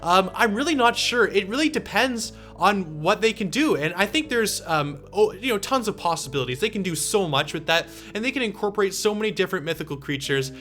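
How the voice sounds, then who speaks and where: A young man of eighteen with a high-pitched, very bright voice talks informally to his online audience. He works through questions in a chatty, explanatory manner, with music playing behind him. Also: animated, brisk, hardly any pauses. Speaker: video creator answering viewer questions. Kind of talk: casual Q and A talk to viewers.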